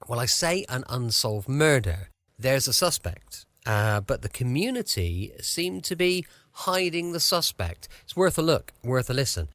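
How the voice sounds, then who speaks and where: A man's voice: medium pitch, very animated, unhurried, fairly bright tone, no echo. An English man hosting a podcast, chatting casually and cheerily with homely, colloquial turns of phrase. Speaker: podcast host.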